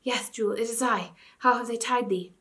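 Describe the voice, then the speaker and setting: A woman's voice: on the high side, moderate pace, a bit bright, lightly acted. A woman reading a stern, courtly young king. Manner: stern, courtly, old-fashioned speech.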